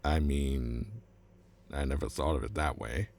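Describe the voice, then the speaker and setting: An actor playing an anxious, self-preserving corporate lawyer in a cyberpunk audio drama, explaining and pleading. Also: male voice, a bass voice, fairly even intonation, a little slow, vocal fry, a little mellow, slight background noise, heavily acted. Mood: guarded, explanatory. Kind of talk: cinematic cyberpunk audio roleplay acting.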